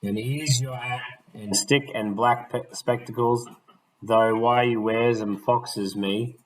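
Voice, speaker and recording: A man voicing a scrappy, quick-tempered street boy with rough, working-class speech. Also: mid-range voice, steady tone, lightly acted, low-quality recording. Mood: gruff cheeky.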